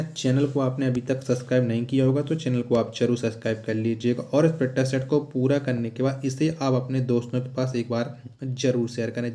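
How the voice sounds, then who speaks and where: Guy doing exam prep quiz, mellow voice, repeats stuff like a teacher, echoey recording. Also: mid-range voice. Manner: didactic, repeats questions and answers. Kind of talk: quiz lesson, question-and-answer explanation. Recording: echoey, roomy sound.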